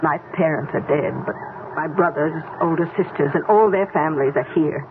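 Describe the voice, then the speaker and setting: An actress playing a brisk, weary woman contact who is trapped abroad, in a 1970s radio drama. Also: low voice, background noise, natural voice. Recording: narrow, phone-like old radio broadcast.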